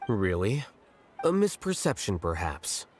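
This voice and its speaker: An actor voicing an aloof, logical young scholar in a video game, speaking in a detached, dry and formal manner. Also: male voice, lowish voice, sing-song, unhurried, a little hiss or hum. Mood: curt, detached. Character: aloof, coolly logical young male scholar in high office.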